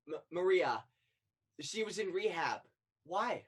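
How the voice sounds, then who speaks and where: A woman's voice: on the low side, expressive, slow pace, husky, full character voice. A young woman on stage playing an earnest, insistent guide to the afterlife, probing and solemn, in a student one-act play. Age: young adult.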